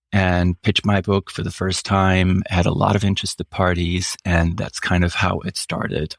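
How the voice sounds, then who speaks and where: Deep gravelly man. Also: no room sound, clean studio sound. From Switzerland. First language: German. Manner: measured, reflective, slows down often, frequent fillers. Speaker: author guest. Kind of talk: interview answers.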